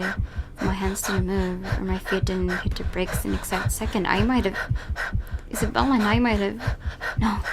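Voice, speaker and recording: A woman reading a visual novel aloud as dramatic first-person narration, often hushed at phrase ends and halting over words. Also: mid-range voice. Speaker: let's player reading a game aloud. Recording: noisy home recording with clicks.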